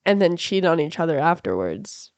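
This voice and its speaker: A woman's voice: deep voice, sing-song, moderate pace, a little mellow, no room sound. A woman guesting on a podcast, improvising comedy scenes with brash, crude jokes. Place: house.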